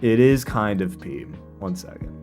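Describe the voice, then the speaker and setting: Low-voiced man chatting casually on stream. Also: lively intonation, unhurried. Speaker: live streamer. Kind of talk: casual livestream chat, commenting on own video. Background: quiet lo-fi music.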